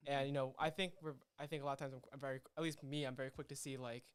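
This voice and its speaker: A man's voice: mid-range voice, flat delivery, clean tone. A man, co-creator of the show, chatting casually with many fillers and restarts. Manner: fast, rambling, many fillers. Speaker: co-creator and host. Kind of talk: casual chat.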